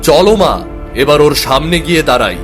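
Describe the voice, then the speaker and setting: A man's voice: on the high side, expressive, normal speed, a bit bright, soft background music. A man voicing a mild, puzzled young husband in a cartoon, with a placating manner. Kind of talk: Bengali cartoon voice acting.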